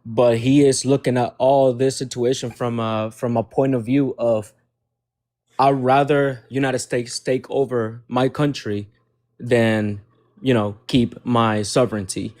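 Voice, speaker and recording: Calm caller from Venezuela. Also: male voice, mid-range voice, measured pace. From Venezuela (Zulia). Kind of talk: call-in debate.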